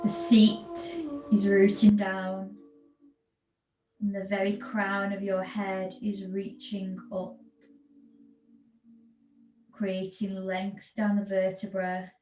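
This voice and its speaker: A woman yoga teacher giving guided relaxation instructions in a soothing, unhurried manner with long pauses between phrases. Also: mid-range voice, fairly even intonation, darkish tone. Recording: echoey room with background noise.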